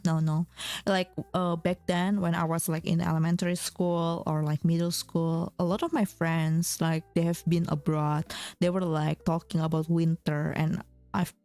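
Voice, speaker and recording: A young woman chats casually on her stream, her voice sitting a little low for a woman. She keeps restarting sentences and leaning on "like" while she talks to her viewers. Faint music plays quietly behind her. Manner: chatty, many fillers and restarts, reads chat aloud. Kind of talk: casual chat with chat readers. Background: faint background music.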